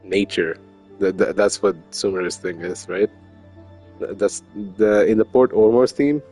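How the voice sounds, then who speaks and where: A man's voice: on the low side, a little slow, warm tone. A man streaming a game with casual, rambling, slangy commentary. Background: faint game music.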